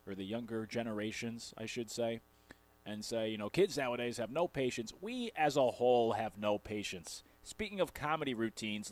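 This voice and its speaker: American man hosting sports talk radio. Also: medium pitch. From Connecticut, USA. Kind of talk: conversational sports talk monologue. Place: radio studio.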